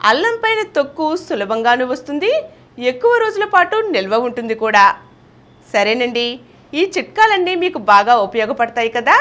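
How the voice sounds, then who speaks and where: A woman's voice: high-pitched, a bit bright. A woman presenting household and beauty tips in scripted narration, speaking to viewers in a friendly way with rhetorical questions.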